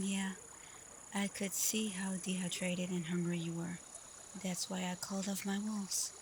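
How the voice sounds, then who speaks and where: A woman playing a caring, teasing female alpha werewolf in an intimate, softly spoken ASMR roleplay. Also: medium pitch, a little hiss or hum, lightly acted. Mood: warm, calm. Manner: playful, unhurried, soft, at times whispering. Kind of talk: ASMR roleplay, intimate acting addressed to listener.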